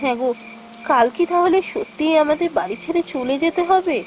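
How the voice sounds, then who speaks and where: A woman's voice: high-pitched, fairly quick, slight background noise, close to an everyday voice, low-quality recording. A woman playing an anxious, pleading village woman in a fairy-tale cartoon. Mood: worried, surprised.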